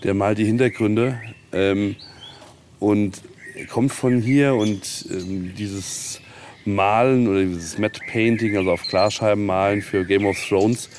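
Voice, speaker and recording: A man's voice: on the low side, fairly even intonation, takes it slowly, a little mellow. A man talking at length in an informal on-site interview, enthusiastic and rambling as he explains. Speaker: castle tenant and event organizer, interviewee. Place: outdoors at a castle ruin. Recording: outdoor field recording with faint background noise.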